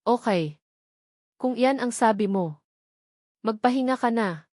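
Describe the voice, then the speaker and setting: A woman reading a gentle but direct worried man. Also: a little high, lively intonation, takes it slowly, dry recording, studio quality.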